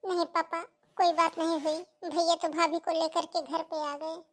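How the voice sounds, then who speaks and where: A woman's voice: very high voice, little pitch movement, normal speed. A woman voicing a tearful, earnest young schoolgirl in a cartoon family melodrama, pleading plaintively.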